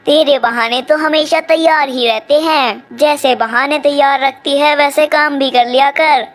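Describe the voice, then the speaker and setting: Woman playing bossy scolding crow mother in law, high voice, kids cartoon, nags a lot. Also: steady tone, unhurried. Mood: nagging. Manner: nagging, sharp-tongued.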